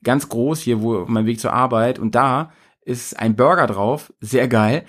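A man's voice: medium pitch, normal speed, a bit bright. Slangy young man hosting casually. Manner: slangy, hesitant, many fillers, jokey. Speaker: podcast host. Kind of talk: casual chat.

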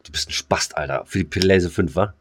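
A man's voice: low-pitched, fast. A man, chatting casually as a podcast co-host in a slangy, crude, rambling way.

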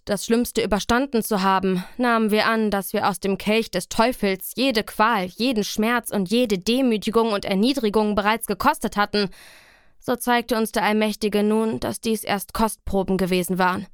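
A woman's voice: mid-range voice, fairly even intonation, normal speed, smooth, no room sound, studio quality, close to an everyday voice. A woman narrating as a survivor of a terrible sea crossing in a radio play, solemn and grave.

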